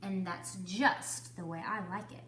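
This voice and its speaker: A woman voicing a fussy, peace-loving male duck in a storybook, prim and quick to take offence. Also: medium pitch, fairly even intonation, a bit bright, roomy sound, slight background noise, natural voice. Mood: contented. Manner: prim, exclamatory when provoked.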